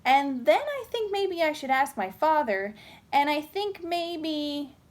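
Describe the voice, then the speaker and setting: A woman's voice: sits high, very animated, takes it slowly, voice pushed up, exaggerated voice. A woman voicing a cautious, hesitant little boy in a children's storybook.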